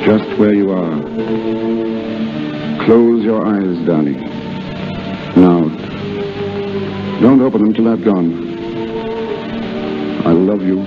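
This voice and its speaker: A man's voice: deep voice, takes it slowly, pauses often. A man playing a tender, earnest young army officer in a 1930s radio drama, speaking with clipped dramatic delivery. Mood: tender, urgent. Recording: muffled old 1930s radio recording with music.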